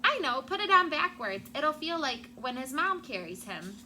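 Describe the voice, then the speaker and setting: A woman voicing an eager, caring young girl from a storybook, with an urgent, expressive manner. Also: sits high, normal speed, slight background noise, voice pushed up, heavily acted. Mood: cheerful.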